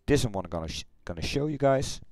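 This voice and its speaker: A middle-aged man with a Dutch accent, chatting loosely through a replay of his racing game run, halting and correcting himself as he goes. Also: mid-range voice, lively intonation, a little mellow, dry recording. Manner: halting, rambling, self-correcting. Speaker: sim racing streamer. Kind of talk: casual replay commentary. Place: home gaming room.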